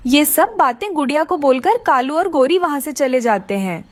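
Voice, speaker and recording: A woman's voice: sits high, lively intonation, moderate pace, no room sound. A woman narrating a children's story from a script as the storyteller.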